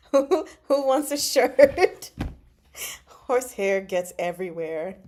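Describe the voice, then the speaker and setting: Slow-paced, expressive woman chatting about books. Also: on the high side, a little hiss or hum. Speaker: book discussion vlog host. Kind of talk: casual live book chat.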